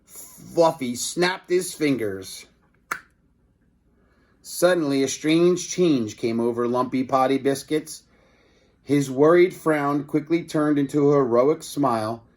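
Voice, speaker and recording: Slow-paced male children's storybook reader. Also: medium pitch. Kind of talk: read-aloud children's story narration with brief asides.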